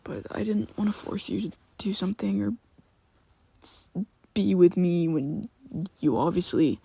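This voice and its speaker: Woman voicing a tender male vampire friend, ASMR roleplay, gravelly whisper, hesitant, unhurried. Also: lowish voice, no room sound, heavily acted. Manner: soft whispering, hesitant and halting.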